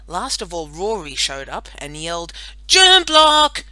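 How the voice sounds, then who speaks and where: A woman reading a children's story as an audiobook narrator, in an expressive, playful and dramatic storytelling manner. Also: low-pitched, normal speed, no echo.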